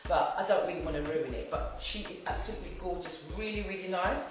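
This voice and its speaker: A chatty woman in her forties talks to camera at home in a rambling, friendly way. Her fairly low voice sounds slightly hoarse from a throat infection. The room is very echoey, with faint music underneath. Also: fast. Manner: chatty and rambling, lively gestures. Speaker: wig review vlogger. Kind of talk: casual chat to camera. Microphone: camera at mid distance.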